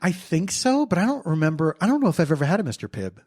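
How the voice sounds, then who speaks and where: A middle-aged man from Pennsylvania co-hosting a podcast in easy conversation. His pitch moves around a lot as he talks, and he slips in dry, teasing jokes. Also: big pitch swings, fast talker, a little mellow. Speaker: podcast co-host. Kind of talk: casual chat.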